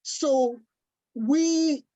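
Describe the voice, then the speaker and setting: A woman from Africa speaks on a panel with a fairly high but mellow voice. She talks in an earnest, deliberate way and leans hard on key words. The sound is dull and lo-fi, like a livestream over a video call. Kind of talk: panel discussion talk.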